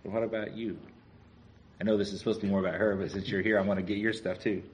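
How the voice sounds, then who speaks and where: Low-voiced male interviewer. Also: little pitch movement, racing, darkish tone. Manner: friendly, curious questions. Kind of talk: oral history interview.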